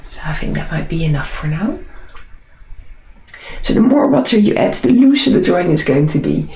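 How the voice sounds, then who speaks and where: A woman with a Dutch accent and a husky, unwell voice, chatting casually and unhurriedly as she hosts a live drawing tutorial. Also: fairly low pitch, big pitch swings, normal speed, warm tone, reverberant, low-quality recording. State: unwell, struggling hoarse voice. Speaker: artist hosting a live drawing session.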